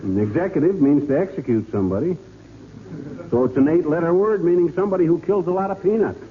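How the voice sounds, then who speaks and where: A man's voice: on the high side, quick pace, close to an everyday voice. An actor with an American accent playing a bumbling, stubborn, folksy married man in 1940s radio comedy style. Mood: cocksure. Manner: folksy, slangy, blustering. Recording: muffled, hissy 1940s radio recording.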